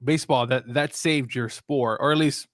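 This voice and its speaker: A man co-hosts a casual sports talk show with a medium-pitched voice. His delivery is conversational and reflective, feeling its way through thoughts in a dry, close recording. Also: fairly even intonation, normal speed, dry recording.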